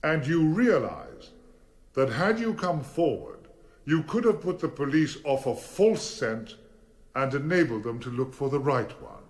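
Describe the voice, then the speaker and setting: A deliberate, formidable barrister. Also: male voice, fairly high pitch, expressive. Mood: probing, insistent. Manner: deliberate, rhetorical questioning. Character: formidable middle-aged male barrister, probing in court, gentle as father. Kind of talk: radio courtroom drama acting.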